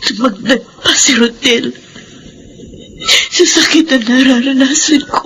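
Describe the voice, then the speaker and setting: A woman's voice: high-pitched, unhurried, fairly bright tone, a little hiss or hum, low-quality recording. An actress playing a grieving, heartbroken young woman who talks through tears in a radio drama. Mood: weary, tearful. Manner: sobbing, accusing through tears. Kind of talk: Filipino radio drama acting.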